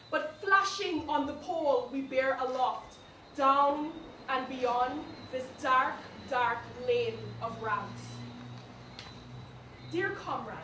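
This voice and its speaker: Woman reciting a poem, solemn n slow, high voice, echoey, bit of background noise. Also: a bit bright. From Guyana. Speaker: poetry reader. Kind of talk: poetry recital. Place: indoor live recital event. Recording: echoey, distant room, faint noise.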